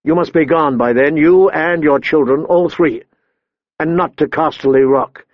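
A stern and honorable lord, grave and measured in every line, as a male audiobook reader plays him. The voice is slightly higher than most men's and is heard dry and a bit muffled. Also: no echo. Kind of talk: audiobook character voice.

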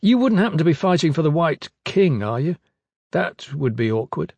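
A man acts out a young male leader from a fantasy storybook. He pitches up and pushes his words out bluntly, bold but socially clumsy. The recording is dry. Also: sing-song, normal speed, darkish tone, slightly rough, dry recording, dull audio, full character voice. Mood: awkward, friendly.

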